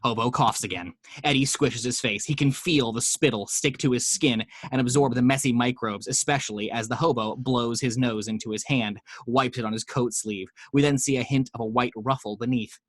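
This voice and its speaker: A man's voice: medium pitch, normal speed, dry recording. Casual man narrating a table read. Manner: casual, chatty asides to listeners. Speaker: narrator reading action lines. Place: home.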